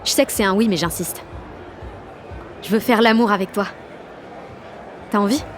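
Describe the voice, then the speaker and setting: A woman's voice: medium pitch, normal speed, soft background music, natural voice. A woman playing a cocky, exalted sixteen-year-old girl activist, narrating an audio drama in brash, confiding slang. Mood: infatuated, excited. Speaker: lead actor and narrator.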